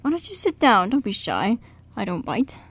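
Woman doing an excitable old-fashioned theater director guy, radio play, middling pitch for a woman. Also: normal speed, husky. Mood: friendly, curious. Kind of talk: amateur radio play acting.

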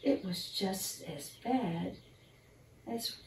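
An older woman reads a children's picture book in a gentle, unhurried, expressive bedtime style, talking to young listeners. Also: mid-range voice. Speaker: storyteller reading a picture book. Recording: roomy, distant mic, faint background noise.

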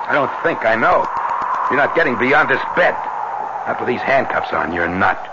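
A man's voice: medium pitch, very animated, normal speed, natural voice. A man acting in a 1970s radio drama, playing an edgy, menacing, suspicious gunman. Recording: noisy, dull vintage radio recording.

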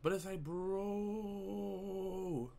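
Animated, slangy young male streamer. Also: high voice, drawn-out words. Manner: animated, slangy, reacts to chat comments. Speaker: livestreamer. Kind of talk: casual live stream chat.

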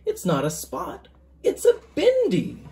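Reader as a mellow, reassuring storybook mother. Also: high-pitched, wildly swooping pitch, unhurried, voice pushed up, heavily acted. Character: loving, reassuring mother explaining to her child.

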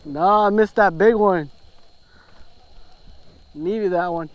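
A man's voice: sits high, slow, smooth, dry recording. A young man muttering short remarks to himself while fishing from a small boat, recorded on a body-worn action camera. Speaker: fishing vlogger. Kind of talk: casual self-talk while fishing. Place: small boat on a lake outdoors. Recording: outdoor wind and water noise.

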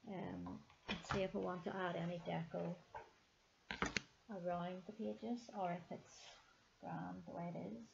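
A woman, hoarse and gravelly from a sinus infection, chatting softly to herself as she decorates a planner at a table. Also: medium pitch, background noise. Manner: soft-spoken, musing aloud as she works. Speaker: planner vlogger. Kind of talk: casual narration while decorating. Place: indoors at a table, camera pointing down at her hands. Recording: slightly dull, lo-fi camera audio.

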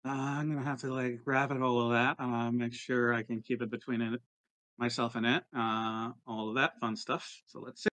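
A man's voice: medium pitch, level tone, normal speed, a bit bright. A man playing a tabletop roleplaying game, hesitantly working out his moves aloud in casual chat.

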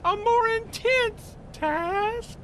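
A man's voice: very high voice, drawn-out words, fairly bright tone, slight background noise, heavily acted. A man playing an eerie, fat male manager in a video game, talking in a theatrical, condescending, menacing way. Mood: ominous. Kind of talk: video game cutscene acting.

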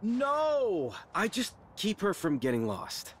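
An actor playing a rugged, gruffly good-hearted young mountain man in a naturalistic video game scene. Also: high-pitched, huge pitch swings, slow, fairly bright tone, heavily acted. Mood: defensive, uneasy.

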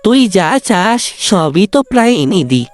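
একজন মহিলা কার্টুন গল্পে ক্লান্ত, ধৈর্যশীল, স্নেহশীল মাঝবয়সী বাবার চরিত্রে কণ্ঠ দিচ্ছেন, কথায় কোমল অনুনয়।. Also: female voice, mid-range voice, animated, moderate pace, natural voice. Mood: hurt, pleading. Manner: weary, pleading, tender. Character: tired, loving, patient middle-aged father. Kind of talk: Bengali cartoon story voice acting.

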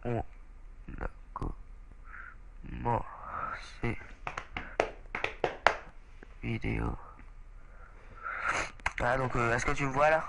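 A man's voice: medium pitch, extremely slow, vocal fry, pauses often, very noisy. A young man, a bit stuffy from a cold, hosting a casual let's play chat and exclaiming often. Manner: excitable, chatty, often exclaims. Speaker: gaming channel host.

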